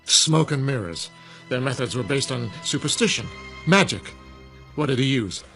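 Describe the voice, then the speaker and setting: An actor playing an old science professor in a radio drama, sceptical, proud and frightened, with a pointed, challenging manner. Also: male voice, medium pitch, animated, moderate pace, pushed and bright. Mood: incredulous scorn. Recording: radio drama with music underneath.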